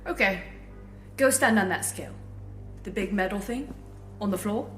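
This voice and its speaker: A woman's voice: medium pitch, normal speed, natural voice. An actress playing a brusque woman medical attendant who barks orders. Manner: barking orders. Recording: echoey room with music.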